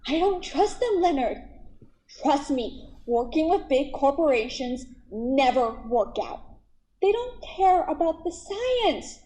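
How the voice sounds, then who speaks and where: Woman acting stubborn female scientist, high voice, very animated, insistent, principled, radio drama. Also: slow, full character voice, rough recording. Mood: firm. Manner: insistent, exasperated. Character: principled, stubborn female lead scientist, protective of her project.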